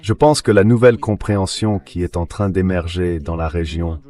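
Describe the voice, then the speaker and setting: A man reading a translated voice-over of political analysis in a measured, explanatory way. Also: sits low, mellow, natural voice.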